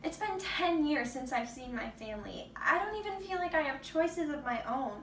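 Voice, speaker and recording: Anguished young captive woman, played by a stage actress, bitter, high voice, readers theater, roomy noisy recording. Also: lively intonation, normal speed, fairly bright tone. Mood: anguished, sorrowful. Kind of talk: rehearsed readers theater monologue. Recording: roomy distant mic with background noise.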